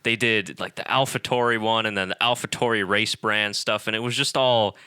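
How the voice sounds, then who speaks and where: A man with a bright, forceful voice co-hosts a casual podcast and rants in an animated, exasperated way. The sound is dry and close, with no room echo. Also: on the low side, a little fast, smooth. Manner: animated, ranting, exasperated storytelling. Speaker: podcast co-host. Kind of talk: casual chat.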